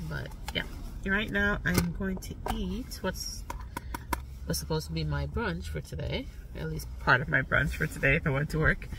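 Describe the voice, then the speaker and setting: A woman's voice: low voice, moderate pace, vocal fry, distant mic, noisy background. Stuffy-nosed woman chatting in a car. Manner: chatty, rambling, soft-spoken with fillers. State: stuffy nose. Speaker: vlogger. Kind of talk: casual chat to camera. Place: parked car. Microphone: phone camera on dashboard.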